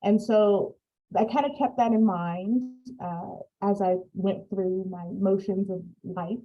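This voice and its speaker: Woman researcher on a call, kinda muffled lo-fi sound, thinks out loud with lots of ums. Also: mid-range voice, steady tone, slow. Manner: reflective, many fillers. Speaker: early career researcher guest. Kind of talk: personal storytelling on a panel. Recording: dull lo-fi call audio.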